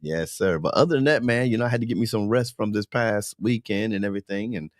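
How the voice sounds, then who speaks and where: A man co-hosting a live podcast, excitable and casual, a bit stuffy from a sinus cold. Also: lowish voice, fast, no room sound, clean studio sound. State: stuffy nose. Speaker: podcast co-host.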